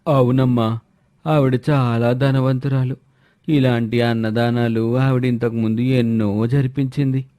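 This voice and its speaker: A man's voice: medium pitch, flat delivery, unhurried, mellow, no echo, studio quality, lightly acted. A man voicing a helpful, respectful local explaining things in a moral story. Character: helpful man explaining a temple charity feast. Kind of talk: Telugu moral story character acting.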